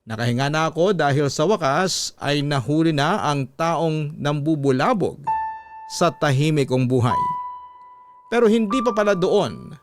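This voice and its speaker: A man's voice: a little high, expressive, unhurried, over music. A man narrating a scripted first-person story as the host of a radio drama.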